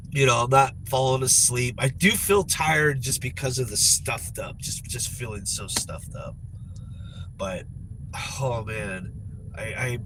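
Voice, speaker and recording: A man's voice: medium pitch, background noise. A man with a stuffed-up nose, chatting casually in a rambling vlog, repeating words and trailing off with sighs. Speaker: vlogger.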